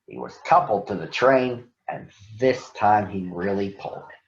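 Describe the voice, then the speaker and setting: A man's voice: fairly low pitch, moderate pace, slight background noise. A man narrating a children's story to young listeners as a storybook reader. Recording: roomy echo, dull sound.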